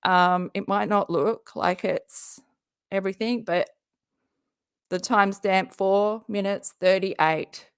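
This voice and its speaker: Fields: Sex: woman. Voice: gravelly and hoarse. Style: chatty live-stream host. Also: mid-range voice, fairly even intonation, slow pace, dry recording, clean studio sound. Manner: chatty, jokey, talks to her viewers. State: hoarse, often losing her voice. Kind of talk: casual live-stream reaction chat.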